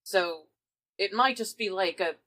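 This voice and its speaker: A woman's voice: medium pitch, a little slow, pushed and bright. A woman playing a tabletop game, switching between casual improvised role-play and wry, chatty table banter.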